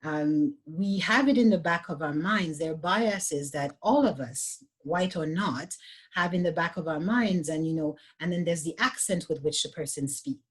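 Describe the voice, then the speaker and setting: A woman's voice: low-pitched, normal speed. A woman with a French accent, a yoga teacher, speaking earnestly and reflectively in a panel discussion, with frequent restarts. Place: online video call.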